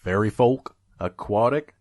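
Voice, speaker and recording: A shrewd pony scavenger, played by a man speaks low and close in a very dry room. He is guarded and mercenary, with a teasing, deadpan edge, as heard in an audio drama. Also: measured pace, no room sound, close to an everyday voice. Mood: dry, skeptical. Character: gruff, shrewd male pony scavenger-peddler, wary and mercenary. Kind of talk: audio drama dialogue and narration.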